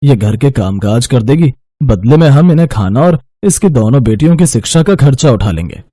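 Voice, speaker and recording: Kind, courteous well-off gentleman, played by a man, cartoon moral tale, mellow tone, dry sound. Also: medium pitch, sing-song, normal speed, dry recording. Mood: calm, explaining.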